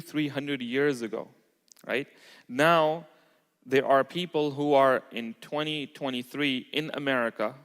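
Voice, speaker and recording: A man's voice: fairly high pitch, a bit bright. A man giving an informal religious lecture to a congregation, explaining step by step and often checking in with short tag questions. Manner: explanatory, checks in with "okay" and "right". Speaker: Islamic lecturer at a community mosque. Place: large reverberant indoor hall. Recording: echoey hall with long reverb.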